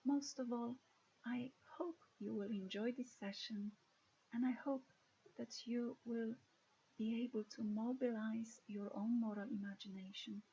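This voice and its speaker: A woman from Poland with a Polish accent, giving a recorded course lecture in a measured, careful way with occasional restarts. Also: a little high, steady tone, dark tone, slightly rough, muffled recording.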